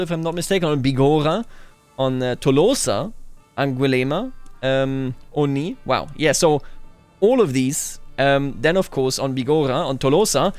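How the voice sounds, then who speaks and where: A man commentates a video game in a casual way. His voice sits at an average pitch. He muses aloud and drifts into in-character boasting, with music playing softly behind him. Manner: musing, thinks aloud, slips into in-character boasting. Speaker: video game let's player. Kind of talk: casual roleplay gameplay commentary. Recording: background music under the voice.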